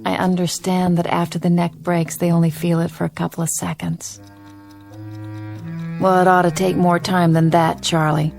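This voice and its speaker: An actress playing a bitter, vengeful sheriff's wife in a radio drama. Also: female voice, lowish voice, little pitch movement, moderate pace, creaky, music in the background. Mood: spiteful. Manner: harsh, spiteful.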